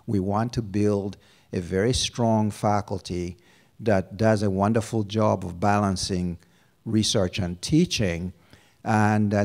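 A middle-aged man from Uganda, a university provost, answering interview questions on a campus stage with a handheld mic, measured and full of fillers. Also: on the low side, a little mellow. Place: lounge stage before an audience. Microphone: handheld microphone.

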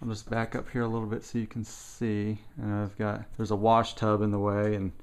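A man giving a casual, unscripted walkthrough while filming indoors, thinking aloud in halting short phrases. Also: on the low side, completely flat delivery, clear, resonant tone. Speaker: person filming a home repair walkthrough. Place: indoors, house basement with block walls. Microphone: handheld camera, held by the speaker.

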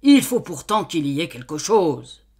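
A woman voicing a brutish, stubborn middle-aged farmer who loudly interrogates his servant girl in a storybook reading. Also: lowish voice, sing-song, slow pace, full character voice. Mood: puzzled. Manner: loud, angry, interrogating.

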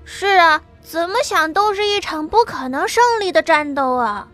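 A woman voicing a weary young psychic who speaks quietly and thoughtfully, in an audiobook with soft music underneath. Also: very high voice, lively intonation, normal speed, voice pushed up, full character voice.

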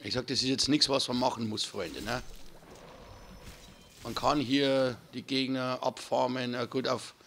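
A hoarse man, chatting casually to viewers while commentating his own gameplay. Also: mid-range voice, fairly even intonation, unhurried, a bit bright, a little hiss or hum. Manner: chatty, addresses viewers as friends. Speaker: gaming channel host. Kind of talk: casual let's-play commentary.

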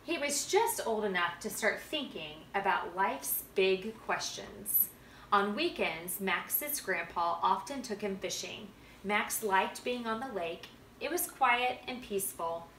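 A woman in her thirties speaks as a storytime reader, with a bright voice. She is in a live-sounding living room, recorded a few feet from the camera with faint noise. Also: medium pitch, expressive, measured pace. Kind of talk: prayer and storybook reading aloud. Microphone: camera a few feet away. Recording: roomy home recording, faint background noise.

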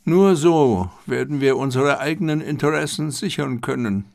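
Storybook old army general, acted by a male reader: proud, formal, stiff, pitched up, very dry sound. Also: moderate pace, vocal fry, a little mellow, no room sound, close to an everyday voice. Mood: stern, resolute.

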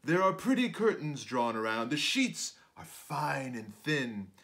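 A man's voice: medium pitch, sing-song, measured pace, vocal fry, a bit bright, full character voice. A man voicing a cunning male spider villain, courtly and coaxing, in a storybook read-aloud.

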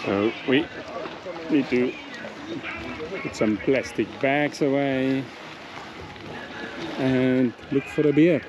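A man talks casually and slowly to a vlog camera, in a mid-pitched voice. He is outdoors, and clear background noise comes through the recording. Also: fairly even intonation, lo-fi sound. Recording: noisy outdoor location sound. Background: loud outdoor noise.

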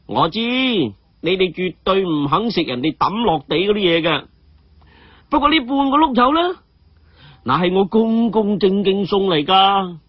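A man voicing a cheeky, gleeful young martial hero in a story, with mocking chuckles and drawn-out exclamations. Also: high-pitched, lively intonation, no room sound, highs cut off, voice pushed up. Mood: smug, playful. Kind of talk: Cantonese storybook character acting.

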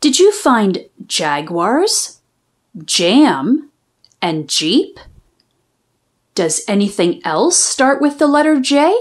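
Woman, children's storyteller, fairly high voice, lively swooping intonation, expressive sing-song phrasing, picture-book read-aloud. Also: extremely slow, pauses often.

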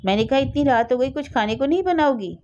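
A woman's voice: sits high, expressive, fast talker, darkish tone, dull audio, pitched above the performer's own voice. A woman voicing a blustering, easily cowed elder brother in a village story, indignant and boastful. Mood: complaining.

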